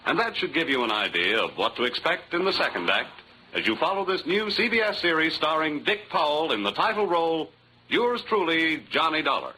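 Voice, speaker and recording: A man's voice: on the high side, very animated, a little fast, background noise. A man announcing in emphatic, showy 1940s radio style. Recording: old radio recording with faint music.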